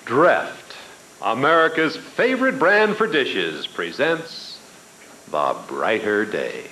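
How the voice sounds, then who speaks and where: Slow dramatic older announcer over organ. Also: male voice, mid-range voice, sing-song. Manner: measured, dramatic announcer delivery. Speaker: narrator and announcer. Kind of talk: radio serial narration. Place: hotel ballroom stage with audience. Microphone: stand mic on stage. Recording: organ music loud under voice. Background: organ music.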